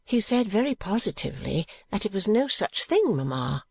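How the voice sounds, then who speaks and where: A woman voicing a gentle, modest young daughter in an audiobook, earnestly protesting. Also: medium pitch, sing-song, normal speed, gravelly, slightly rough, no echo, pitched above the performer's own voice, full character voice. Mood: gentle, reasoning. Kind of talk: audiobook character acting.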